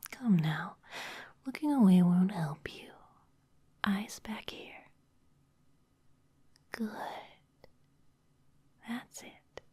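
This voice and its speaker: A woman's voice: on the low side, mellow, breathy edge, heavily acted. A woman playing a seductive, domineering vampire office boss in an ASMR roleplay, whispering breathily in a teasing, commanding manner. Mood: stern, teasing.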